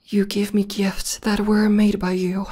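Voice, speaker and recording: A woman playing a tough tomboy demoness who is a devoted lover, speaking softly and haltingly in an intimate, breathy ASMR roleplay. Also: mid-range voice, flat delivery. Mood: emotional, adoring. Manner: soft, breathy, halting and earnest delivery, often dropping to whispers.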